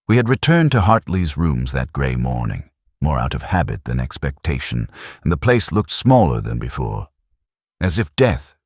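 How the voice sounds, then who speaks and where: A middle-aged man with a very deep, slightly husky voice reads a detective mystery. His delivery is measured and brooding, with a wide, expressive rise and fall. The sound is close and dry, suited to literary first-person narration. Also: no echo.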